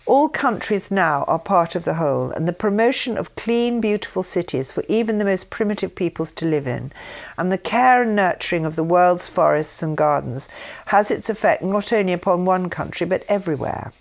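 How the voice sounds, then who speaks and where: A woman's voice: on the low side, expressive, normal speed, gravelly. An older woman reading written messages aloud with informal asides, speaking in an earnest way. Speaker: reader and speaker.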